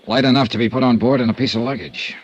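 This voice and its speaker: A terse hardboiled investigator. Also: male voice, medium pitch, lively intonation, fast, highs cut off. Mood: steady. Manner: terse, clipped and matter-of-fact. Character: hardboiled American male insurance investigator. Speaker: narrator and lead detective. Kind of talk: 1950s radio drama first-person narration. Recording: old radio transcription with music bed.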